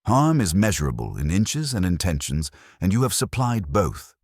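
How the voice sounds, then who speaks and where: A man's voice: low-pitched, big pitch swings, moderate pace, slightly rough, no room sound, clean studio sound, pitched above the performer's own voice, heavily acted. A man voicing an imperious, precise detective genius with a formal, pompous, commanding manner in a mystery story. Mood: dry certainty.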